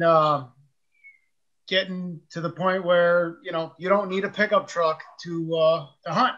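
A man's voice: on the high side, bright, forceful tone, muffled recording. A man volunteering as a speaker, talking casually on a video call with loose, filler-heavy speech.

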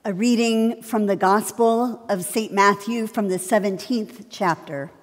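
A middle-aged woman pastor reading the gospel from a pulpit in a measured, deliberate way. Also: medium pitch. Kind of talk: slow liturgical gospel reading. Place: large church sanctuary. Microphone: pulpit microphone. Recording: long church reverb.

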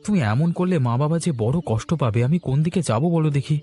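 Casting: man as anxious young cartoon husband, conciliatory and pleading, mellow tone with faint music behind. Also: a little high, lively intonation, fairly quick, lightly acted. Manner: pleading, placating. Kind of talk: Bengali cartoon voice acting.

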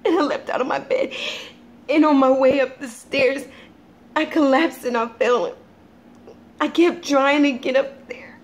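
Woman acting anguished grieving mother, high-voiced. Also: fairly even intonation. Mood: distraught. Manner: halting, anguished, dramatic. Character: grieving, unhinged mother tormented by guilt. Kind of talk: theatrical dramatic monologue.

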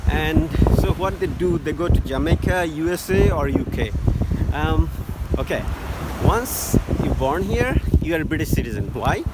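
A man giving halting travel tips from a beach, with many fillers and restarts. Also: medium pitch. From Bangladesh. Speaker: travel vlogger. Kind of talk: casual travel tips to camera. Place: outdoors on a sunny beach by the sea. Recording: loud wind noise on phone mic.

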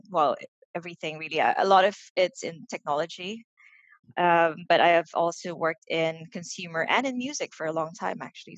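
A woman's voice: on the low side, a bit bright, no room sound, muffled recording. A woman in her thirties from the Philippines, with an international school accent, chatting as a guest on a video call over a headset. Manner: chatty, laughs often, restarts words. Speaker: guest, head of marketing enablement. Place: home room.

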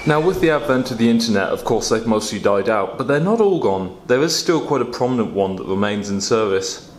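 A man's voice: mid-range voice, expressive, a little fast, roomy sound. A young man presenting a hobby video in a casual, explanatory style to camera. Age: young adult. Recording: clear background noise, some outdoor ambience.

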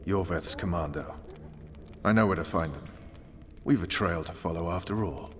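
A man's voice: deep voice, moderate pace, close to an everyday voice. A man playing a stern, commanding special forces interrogator in a video game, blunt and authoritative. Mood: businesslike. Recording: long hall reverb, faint ambient noise.

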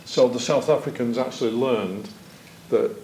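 A man's voice: medium pitch, normal speed, a little hiss or hum, low-quality recording. An older man, a former police chief, telling anecdotes as a witness at an evidence session in a lecture theatre. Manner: anecdotal, animated hand gestures. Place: lecture theatre, seated at a desk.

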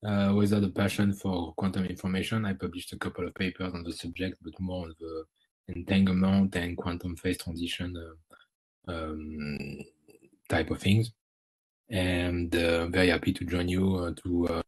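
A middle-aged man joining a video call as moderator, introducing himself in a relaxed way with many fillers. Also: low voice, slightly rough, poor audio. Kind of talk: casual self-introduction.

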